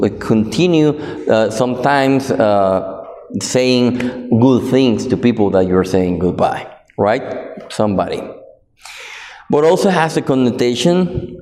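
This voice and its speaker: A man with a Guatemalan Spanish accent delivers a sermon in English, his second language. He talks slowly and carefully, stopping often with fillers and restarting his phrases. His voice rings out with a long reverb in a roomy hall. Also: medium pitch, mellow. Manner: halting, many fillers and restarts. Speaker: preacher.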